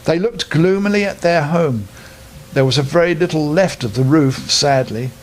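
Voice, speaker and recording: An older man reading a children's bedtime story aloud in an expressive, unhurried, dramatic storytelling manner. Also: a little high.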